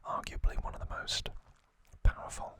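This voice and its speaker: Male ASMR host, breathy whisper, very bright and sharp, slow deliberate phrasing, trivia narration.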